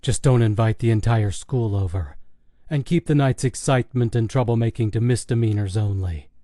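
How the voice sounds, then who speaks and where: A man's voice: on the low side, normal speed, warm tone, no room sound, clean studio sound, close to an everyday voice. A man voicing a wry, firm but easygoing father in an audiobook, with a mildly stern manner. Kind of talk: audiobook character dialogue.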